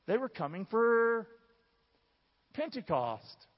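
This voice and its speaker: A man's voice: extremely high pitch, big pitch swings, takes it slowly. A man with a Southern American accent preaching a sermon in an emphatic, folksy way, putting questions to his congregation. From southern United States. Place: large church room. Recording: dull, reverberant large hall sound.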